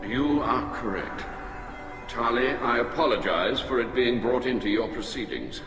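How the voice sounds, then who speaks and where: A man playing a grave, principled and proud alien admiral in a video game, speaking in formal, measured oratory. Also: mid-range voice, animated. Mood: grave, earnest. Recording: long hall reverb, loud music behind. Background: loud game music.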